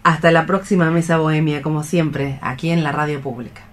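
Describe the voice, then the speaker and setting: A woman's voice: low-pitched, steady tone, a little fast. A woman with an Argentine accent chatting casually as a co-host on a radio show.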